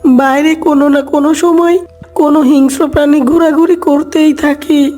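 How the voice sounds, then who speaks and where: Woman as a high-voiced, fretful mother duck. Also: fairly even intonation, normal speed, mellow, faint music behind, natural voice. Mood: protective. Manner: earnest, fretful. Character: worried mother duck, protective of her eggs.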